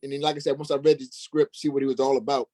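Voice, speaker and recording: A man's voice: medium pitch, level tone, very fast, darkish tone, no room sound, clean studio sound. A man speaking as an interview guest and stage actor, telling his experiences in a casual, conversational way.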